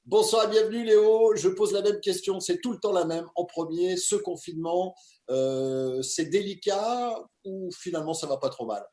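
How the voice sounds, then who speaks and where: A man hosting a casual interview from his home, an emphatic and lively presenter. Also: sits high, normal speed, echoey room. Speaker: host. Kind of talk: casual video-call interview.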